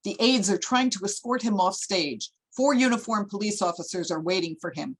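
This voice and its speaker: A woman's voice: medium pitch, expressive, normal speed, husky. A woman narrator reading screenplay stage directions in a scripted table read.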